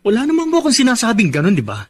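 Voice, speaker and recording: Earnest young Filipino nephew. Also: male voice, extremely high pitch, wildly swooping pitch, very fast, heavily acted. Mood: pleading, exasperated. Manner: pleading, reasoning. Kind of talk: Filipino radio drama acting.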